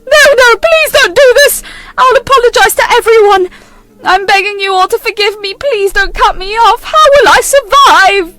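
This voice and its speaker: Woman putting on a shrill panicking mother-in-law. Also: lively intonation, a little fast, few pauses, music in the background. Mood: pleading. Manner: pleading, high and loud.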